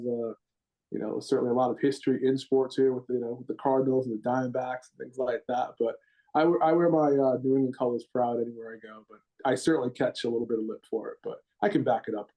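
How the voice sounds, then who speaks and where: Middle-aged man, Rhode Island accent, warm low-key tone, rambling coach guest who restarts his sentences. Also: steady tone, fast talker, rough recording. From Rhode Island, USA. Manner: rambling, repeats words and restarts often. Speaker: gymnastics head coach, guest. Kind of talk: podcast interview.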